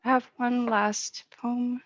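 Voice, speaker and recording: A woman's voice: on the high side, little pitch movement, slow, no room sound. A middle-aged woman, a poet and publisher, reading and speaking at an online poetry event. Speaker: poet and small-press publisher. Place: indoors on a video call. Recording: dull, muffled online call audio.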